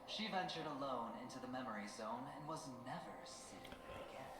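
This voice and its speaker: An actor playing a self-assured male gambler-schemer in a video game, speaking in a theatrical, teasing, deliberate storytelling manner. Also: mid-range voice, lively intonation, very noisy, lightly acted. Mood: measured storytelling. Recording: echoey game audio under music.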